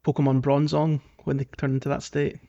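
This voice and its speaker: A man's voice: on the high side, completely flat delivery, a little fast, vocal fry, warm tone, highs cut off. A man hosting a podcast, talking through a game in a casual, rambling, conversational way. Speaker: podcast host.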